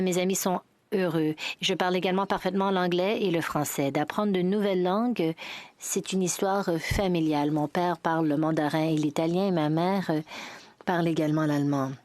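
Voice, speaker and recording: A woman with a fairly low voice speaks in the measured cadence of a formal parliamentary address, now and then restarting a word. The sound is dry and close, with no room echo. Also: a little mellow.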